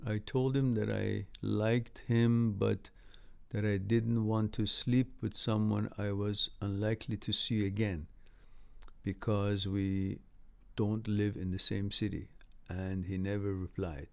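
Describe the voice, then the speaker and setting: A man's voice: fairly low pitch, flat delivery, slow pace, creaky, darkish tone, smooth. A man whose first language is Farsi chats live with his viewers, reading their comments aloud and answering them. His advice is blunt but easygoing, and he laughs often between sentences.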